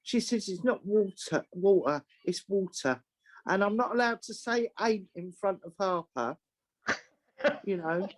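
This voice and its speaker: A woman's voice: mid-range voice, a little slow, rough recording. Blunt older London woman. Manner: blunt, outspoken. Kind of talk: casual chat on a group video call.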